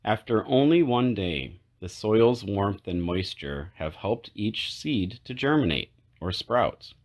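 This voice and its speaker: A man narrating a children's picture book aloud, phrase by phrase in a measured way with clear pauses. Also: fairly low pitch. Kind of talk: read-aloud storybook narration.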